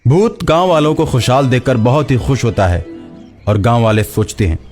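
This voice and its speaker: A man's voice: medium pitch, normal speed, a little mellow, soft background music. A man narrating a scripted moral story as a storyteller.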